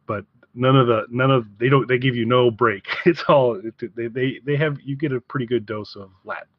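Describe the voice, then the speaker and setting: A man chats casually as a podcast co-host. He has a mid-pitched voice and circles back over his phrases before finishing them. The recording is very dry and a little dull. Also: dry recording. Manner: halting, repeats and rephrases as he goes. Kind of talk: casual review chat.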